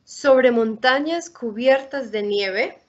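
A woman's voice: fairly high pitch, slow. A woman reading a children's picture book aloud over a video call. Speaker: storybook reader. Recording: muffled, lo-fi video call audio.

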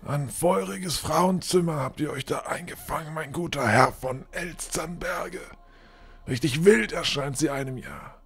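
A man's voice: a little high, unhurried, creaky, breathy edge, heavily acted. A man acting a pompous, condescending older lord with formal, theatrical courtesy in a fantasy audio drama. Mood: mocking, challenging. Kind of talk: fan-made German fantasy audio drama.

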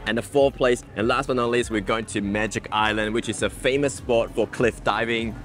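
A man's voice: medium pitch, steady tone, normal speed, a bit bright, faint music behind. A young man vlogging outdoors on a tropical island, explaining the tour in a chatty, playful way into a lapel mic. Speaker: travel vlogger. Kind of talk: casual travel vlog narration.

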